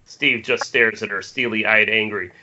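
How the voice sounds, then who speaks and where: Very bright, forceful male narrator. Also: fairly low pitch, steady tone, measured pace. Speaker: stage-direction reader. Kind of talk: scripted narration, amateur screenplay table read. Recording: dull, noisy internet call with clicks.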